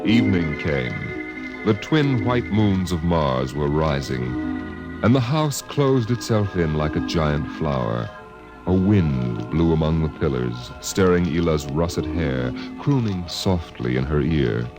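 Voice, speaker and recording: A man narrating a literary radio drama in a measured, evocative storytelling manner. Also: very deep voice, animated. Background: loud music and effects.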